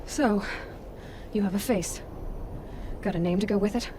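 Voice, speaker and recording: A woman's voice: mid-range voice, normal speed, background noise, natural voice. An actress playing a sardonic, defiant young woman adventurer in a video game, speaking in a wry, blunt, impatient way. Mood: irritated.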